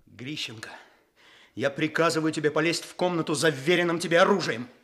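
An actor playing an earnest, stern young police officer in a radio play, speaking in an official, clipped manner. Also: male voice, a little high, brisk, a bit bright, lightly acted. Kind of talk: Soviet radio play acting.

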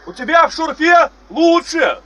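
An actor playing a hearty, impatient prospector working in the cold, calling out bluntly in a Soviet radio drama. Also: male voice, extremely high pitch, big pitch swings, measured pace, a bit bright, muffled recording, exaggerated voice. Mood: impatient, excited.

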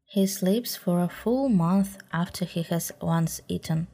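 Woman reader as a scolding male panther, dark-toned and deliberate. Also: fairly low pitch, faint music behind. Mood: impatient. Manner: scolding, impatient.

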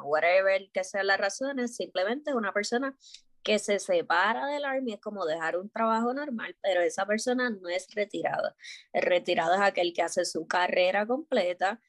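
A woman hosting a podcast, talking in a quick, casual way. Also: fairly low pitch, dry recording. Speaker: podcast host.

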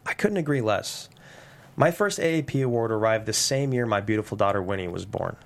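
A man playing a nerdy, well-meaning father and poet with an earnest, halting delivery in a comedy table read. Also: lowish voice, expressive. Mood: awkward, earnest.

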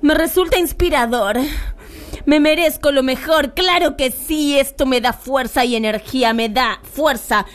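A woman's voice: high-pitched, unhurried. A woman playing a gleefully wicked, self-absorbed spinster in a comic radio drama, theatrical, gloating and mock-sweet.

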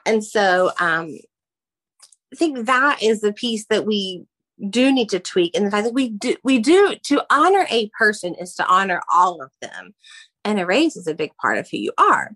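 A woman in her thirties, a former teacher and mother, answering interview questions in an earnest, animated way. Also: medium pitch. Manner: earnest and animated, warm emphasis on key phrases. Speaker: guest, former teacher and mom.

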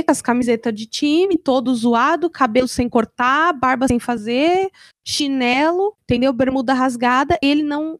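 Brazilian lady hosting a panel chat, pretty high voice, really dry sound, casual but earnest. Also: dry recording. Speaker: host of a group discussion.

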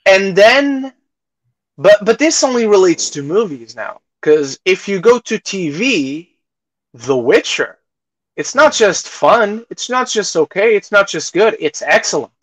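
A man's voice: high-pitched, very animated, unhurried, no room sound, low-quality recording. A man co-hosting a podcast, casually discussing movies in an opinionated, emphatic way. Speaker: podcast co-host.

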